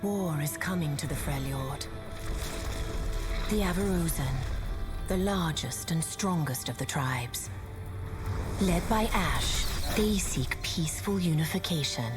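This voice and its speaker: A woman's voice: low voice, expressive. A woman voicing a cold, menacing sorceress who narrates a game trailer in an ominous manner. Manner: slow, ominous, dropping to a whisper. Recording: loud cinematic music behind the voice.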